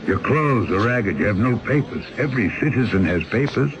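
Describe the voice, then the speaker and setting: A man's voice: fairly low pitch, lively intonation, normal speed, highs cut off, background noise, close to an everyday voice. An actor playing a weary, fatherly exile boatman in his fifties, ruminative in an old-time radio drama. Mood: gently admonishing. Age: 50s. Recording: old radio recording with music.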